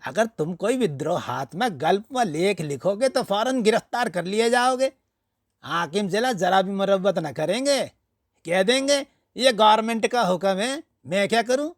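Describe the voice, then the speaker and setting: A man's voice: high-pitched, expressive, normal speed, dry recording, studio quality, voice pushed up. A man voicing an indignant friend in a storybook reading, giving opinionated advice in an emphatic, mocking manner. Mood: warning.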